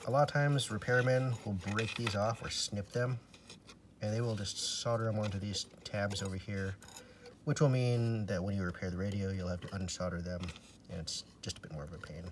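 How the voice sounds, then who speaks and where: A man giving a casual, hands-on repair explanation in an unhurried, matter-of-fact way, sometimes trailing off. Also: fairly low pitch, steady tone. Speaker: radio repairer demonstrating. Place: indoors at a table. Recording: clear background noise, handling clicks.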